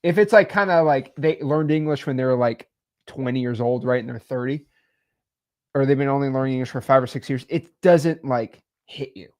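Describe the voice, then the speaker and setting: A man's voice: mid-range voice, moderate pace. A young man co-hosting a podcast, giving casual business advice in a rambling way with many fillers. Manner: rambling, many fillers, animated swings in loudness. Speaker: podcast co-host.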